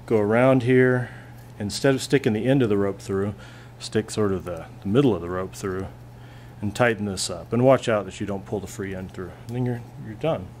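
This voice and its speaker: Guy teacher showing a knot at a lab bench, lowish voice, easygoing, faint hum behind. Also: moderate pace. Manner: relaxed, plainspoken, thinking aloud with restarts. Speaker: physics teacher. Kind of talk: hands-on classroom demonstration. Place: classroom lab bench, indoors.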